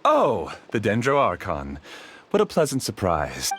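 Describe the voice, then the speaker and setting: Scheming researcher: deep, lively, courteous and theatrical; faint game score behind. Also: male voice, unhurried, slightly rough, heavily acted. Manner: courteous, theatrical, laughs softly. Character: scheming male researcher, polite, smooth-talking. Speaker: husband, researcher. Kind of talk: video game voice acting.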